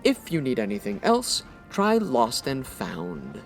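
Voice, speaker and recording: A woman's voice: a bass voice, wildly swooping pitch, takes it slowly, soft background music, heavily acted. A woman playing a curt, sarcastic veteran department store clerk in a comedy audio drama, haughty and dry. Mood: curt, irritated.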